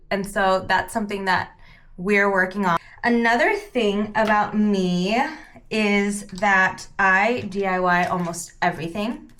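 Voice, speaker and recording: Thirties woman doing a get ready with me, cantonese her first language, lots of "like", kinda soft. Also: medium pitch. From San Francisco Bay Area, California. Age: 30s. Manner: chatty, peppered with "like", soft-spoken while doing makeup. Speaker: beauty vlogger. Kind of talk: casual get-ready-with-me chat.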